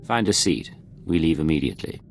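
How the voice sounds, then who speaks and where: Deep, gravelly secret agent. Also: male voice, very animated, fairly quick, a little hiss or hum, heavily acted. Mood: cool, commanding. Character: cool, terse male Imperial secret agent. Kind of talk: scripted video game acting.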